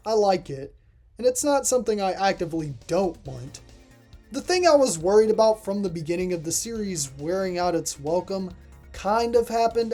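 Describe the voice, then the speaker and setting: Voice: mid-range voice, mellow. A reviewer narrating a scripted video review in a voice-over.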